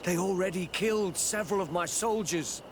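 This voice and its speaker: Man as worried, higher-voiced outpost officer. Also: a little fast, noisy background, close to an everyday voice. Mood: anxious, urgent. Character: worried male outpost officer, dutiful soldier under siege. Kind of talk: video game cutscene acting.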